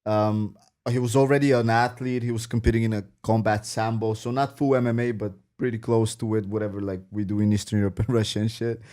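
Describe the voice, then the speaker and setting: Guy from bulgaria, lowish voice, slangy casual sports podcast talk. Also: little pitch movement, brisk, few pauses, warm tone. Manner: slangy, informal. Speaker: podcast panelist.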